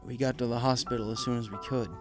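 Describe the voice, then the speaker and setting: Storybook pegasus father, played by a man, middle pitch, earnest, halting, deferential, anguished, faint music. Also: steady tone, lightly acted. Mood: sorrowful. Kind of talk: storybook character voice.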